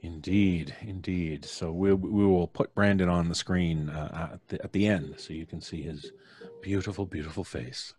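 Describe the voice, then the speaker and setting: A man's voice: deep voice, fairly even intonation, normal speed, darkish tone, husky. A man with a North American accent hosting a casual live stream, jovial and playfully flowery in his introductions. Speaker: host and showrunner of a storytelling podcast. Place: at home, on a live video stream.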